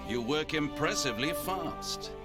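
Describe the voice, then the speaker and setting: An actor playing a grave, principled commander who speaks in a measured, authoritative way in a video game. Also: male voice, a little high, expressive, loud music behind the voice. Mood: calm, commanding. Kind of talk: solemn video game cutscene speeches.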